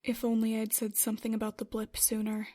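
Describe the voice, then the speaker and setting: Woman playing a worried pilot, higher, even voice. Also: brisk, lightly acted. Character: worried, self-doubting young female starship pilot. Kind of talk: Minecraft roleplay web series voice acting.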